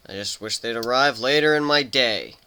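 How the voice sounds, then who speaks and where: Man voicing a grumpy, bright-toned male pegasus. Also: medium pitch, moderate pace, clean tone, close to an everyday voice. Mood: groggy, grumbling. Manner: mumbling, protesting. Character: grumpy, groggy young male pegasus pony. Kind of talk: dramatic reading of cartoon fan fiction.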